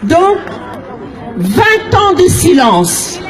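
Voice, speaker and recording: A high-voiced woman addresses a crowd as an activist, shouting each phrase with slow, heavy emphasis. She is from the Democratic Republic of the Congo and speaks with fierce conviction. A crowd and music are heard behind her. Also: very animated. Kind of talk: impassioned public speech. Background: crowd noise and music.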